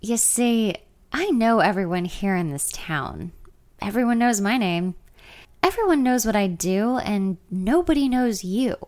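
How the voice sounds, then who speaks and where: A woman's voice: medium pitch, expressive, measured pace, a little mellow, lightly acted. A woman voicing a smug, condescending male country musician in a story, taunting in manner.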